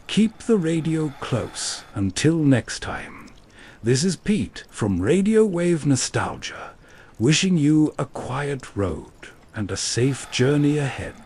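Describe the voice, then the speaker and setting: A man narrates as a late-night radio host, slowly and deliberately. His voice is a little high for a man and rises and falls expressively in reflective scripted lines. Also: darkish tone, husky. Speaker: host of old-time radio show.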